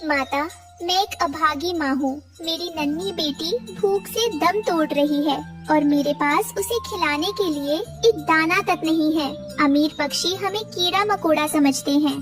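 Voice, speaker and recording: A woman's voice: high-pitched, measured pace, recorded from a distance, over music. A woman voicing a poor, humble mother bird in a cartoon, devoted and deferential. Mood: sorrowful, humble. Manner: pleading, deferential.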